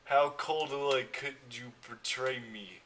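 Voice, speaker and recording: A man plays an overwrought boy wizard hero in a comic storybook reading. The voice is bright and forceful, with stilted, melodramatic delivery. Faint noise and clicks sit on the recording. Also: mid-range voice, slow, far from the mic. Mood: betrayed, angry. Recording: noisy amateur recording with clicks.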